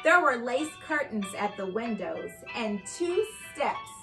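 A young adult woman reading a children's story aloud with expressive, carefully enunciated storytelling delivery. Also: fairly high pitch, slow, music in the background. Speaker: host and reader of children's read-aloud show. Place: indoor living room by a fireplace.

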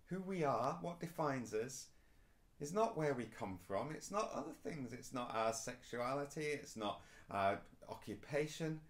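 A man, preaching a recorded sermon to camera in a small indoor room, earnest and emphatic. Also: mid-range voice. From England. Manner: earnest, rising and falling emphasis, gestures while speaking. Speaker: pastor.

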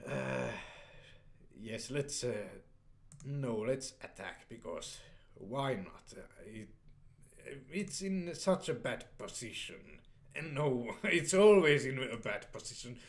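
Young guy playing a strategy game, slow talker, lots of ums, voice jumps around a lot. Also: medium pitch. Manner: hesitant, many fillers and restarts, reads dialogue in flat voice. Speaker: let's play player. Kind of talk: casual gameplay commentary, reading game text aloud.

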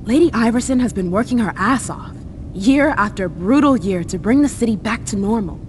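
A woman's voice: fairly high pitch, lively intonation, moderate pace, darkish tone, a little hiss or hum, natural voice. A woman playing a bold, defiant young vampire envoy in a dramatic video game. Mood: earnest, persuasive.

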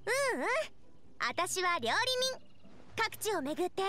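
A woman's voice: very high-pitched, lively intonation, a little slow, background noise. A woman voicing a cheerful, eager teenage girl chef with a bubbly, friendly manner in an anime game. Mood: curious, friendly.

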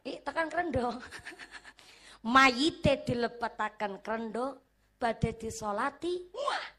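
A middle-aged woman preacher speaks with a strong ngapak Javanese accent from Cilacap. Her voice sits a little high for a woman, and her delivery is animated and playful as she teases a crowd and moves between Javanese and Indonesian. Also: slow. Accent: Banyumasan (ngapak) Javanese. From Cilacap, Central Java. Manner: animated, joking, switches between Javanese and Indonesian, laughs often. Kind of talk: religious lecture to a crowd.

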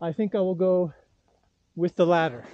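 A man's voice: high voice, steady tone, normal speed, muffled recording, background noise. A man talking casually as he vlogs from a ski slope outdoors. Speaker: vlogger. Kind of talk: casual outdoor skiing vlog. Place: outdoors on a snowy mountain slope.

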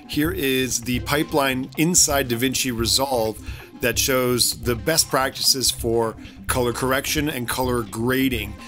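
A middle-aged man with a North American accent explains technical matters to camera in an emphatic way, repeating key words for stress, recorded on a desk studio mic. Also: mid-range voice. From Canada and the United States, born in Czechoslovakia. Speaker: video creator and colorist presenting. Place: small room indoors at a desk. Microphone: studio condenser mic on desk stand. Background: music.

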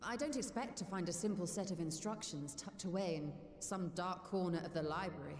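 An actress playing a proud, determined and wry scholar-sorceress in a fantasy game, speaking with composed, formal, old-fashioned phrasing. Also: female voice, fairly low pitch, brisk, darkish tone, echoey room, long reverb tail, a little hiss or hum, lightly acted. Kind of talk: scripted fantasy game voice acting.

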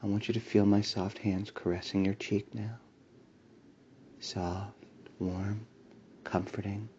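A man delivering a slow hypnotic induction in a soft, soothing voice with breathy whispers and a repetitive commanding cadence. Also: fairly low pitch, flat delivery, dull audio, slight background noise. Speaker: hypnosis audio creator.

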